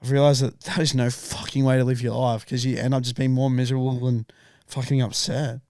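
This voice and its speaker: A young man with a raspy voice, co-hosting a podcast in casual chat, swearing and laughing through lively stories. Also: mid-range voice, a little fast, no echo, clean studio sound. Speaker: podcast co-host. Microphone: studio podcast mic.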